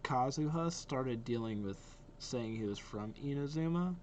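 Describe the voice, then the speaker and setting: A man's voice: medium pitch, lively intonation, unhurried, a little mellow, slight background noise. A young man giving casual, enthusiastic reaction commentary as a video host. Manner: enthusiastic, repetitive praise. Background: faint music.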